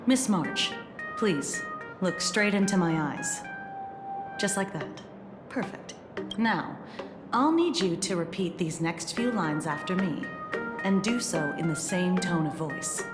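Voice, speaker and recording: A woman voicing a calm, eloquent, gently commanding examiner in an anime-style game, with formal and deliberate phrasing. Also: fairly low pitch. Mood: calm instruction. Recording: echoey long reverb, loud music underneath.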